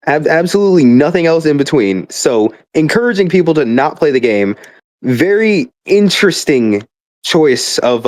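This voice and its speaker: A man's voice: on the high side, a little mellow, no echo. A man ranting casually about a video game, exasperated and emphatic, swearing often and repeating words as he builds his points. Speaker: gamer.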